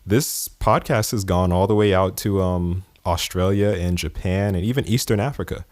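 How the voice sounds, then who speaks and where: A man's voice: low-pitched, moderate pace, darkish tone, no room sound. A man from the East Coast of the United States hosting his podcast, talking in a loose, conversational way with fillers and chuckles. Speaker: podcast host. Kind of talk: casual podcast monologue.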